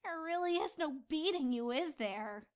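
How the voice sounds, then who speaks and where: Very high, exasperated cartoon pony played by a woman. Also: expressive, normal speed. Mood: weary. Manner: theatrical, indignant. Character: exasperated, bookish young female cartoon pony. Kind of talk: fan fiction audio drama acting.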